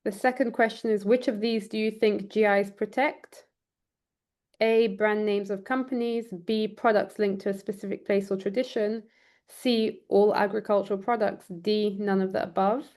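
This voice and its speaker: Woman lecturer giving an online talk, pauses and restarts a lot, earnest. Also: mid-range voice, fairly even intonation, darkish tone. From Trinidad and Tobago, raised in London. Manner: many ums and restarts, earnest. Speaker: law lecturer. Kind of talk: online presentation. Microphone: video call.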